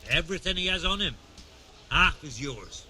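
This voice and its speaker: An actor playing a wily, aggrieved beggar and pickpocket in a video game, plaintive and streetwise. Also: male voice, a little high, animated, moderate pace, pushed and bright, a little hiss or hum. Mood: indignant, wheedling. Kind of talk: video game dialogue acting.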